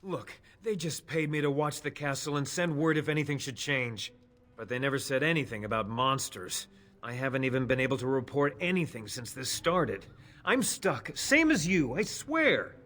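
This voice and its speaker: A man's voice: medium pitch, lively intonation, normal speed, fairly bright tone, natural voice. An actor playing a nervous, evasive elf spy in a fantasy game, defensive and pleading as he stammers. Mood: frightened, pleading.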